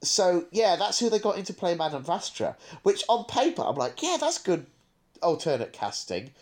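A man's voice: sits high, big pitch swings, normal speed, a little mellow. A man co-hosting a casual podcast discussion, giving opinions with frequent stammering restarts. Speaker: podcast co-host.

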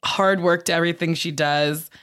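A woman's voice: fairly low pitch, fairly even intonation, moderate pace, fairly bright tone, clean tone. Young woman, scratchy voice, loose joking podcast chat, swears now and then. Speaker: podcast guest. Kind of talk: casual chat.

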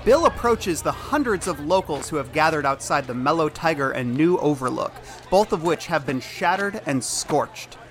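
A man's voice: on the high side, animated, normal speed, few pauses. A man reading scripted, dramatic narration as the narrator of an audio drama. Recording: loud music under the voice. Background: loud music.

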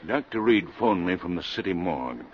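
A man's voice: low-pitched, lively intonation, slightly rough. A man acting as a businesslike, decisive city mayor in a 1950s American radio drama. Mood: brisk, decisive. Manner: brisk, formal. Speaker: actor. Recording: dull, noisy vintage 1950s radio recording.

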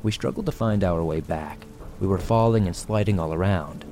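Man, scripted horror narration, very low pitch, dark mellow tone, soft music and rain underneath. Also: moderate pace. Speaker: horror story narrator, show host.

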